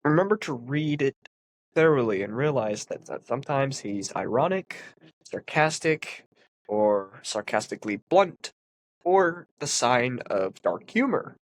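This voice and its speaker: A man's voice: mid-range voice, lively intonation, a little slow, a little hiss or hum. A man with a congested, sniffly voice, reading a philosophy text aloud and explaining it in an earnest way with emphatic stresses. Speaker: philosophy commentator.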